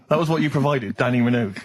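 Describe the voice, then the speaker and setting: A British man hosting comic radio banter, teasing in a mock-earnest way. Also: medium pitch. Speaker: radio show host.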